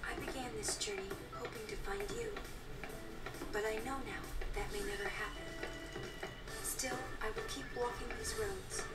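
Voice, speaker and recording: An earnest young heroine from a video game, played by a woman. Her voice is high, gentle and resolute. It echoes under loud music. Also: animated, moderate pace, recorded from a distance, close to an everyday voice. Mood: wistful.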